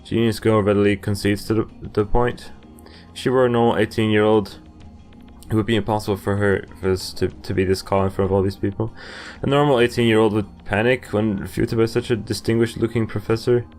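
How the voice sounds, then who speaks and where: A man's voice: fairly low pitch, fairly even intonation, brisk, a little mellow. A young man giving casual playthrough commentary and reading game text aloud, rambling and hesitant. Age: young adult. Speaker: gaming video host. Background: game music.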